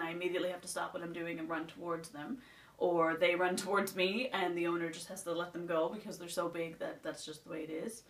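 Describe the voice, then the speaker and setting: A woman's voice: medium pitch, a bit bright. Chatty Nova Scotian woman, roomy sound. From Nova Scotia, Canada. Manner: rambling, chatty, reading off a list. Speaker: beauty vlogger. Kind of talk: casual chatty vlog. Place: indoors at home, seated. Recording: roomy sound from a distant mic.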